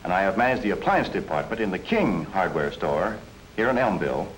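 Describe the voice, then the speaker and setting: An earnest aspiring store owner in a 1940s educational film. His voice is on the low side with animated inflection, polite and deliberate. The old soundtrack carries faint noise. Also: rough recording.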